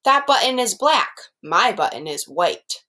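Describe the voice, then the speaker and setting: A woman's voice: medium pitch, big pitch swings, a little slow, bright, forceful tone, full character voice. A woman voicing a grumpy, exasperated male toad from a children's storybook, complaining emphatically. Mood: insistent.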